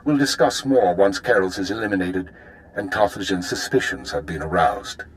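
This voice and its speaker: A man voicing a calculating spymaster in a video game, briefing in a measured, formal, businesslike way. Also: low voice, a little hiss or hum, close to an everyday voice, low-quality recording. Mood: cool, businesslike.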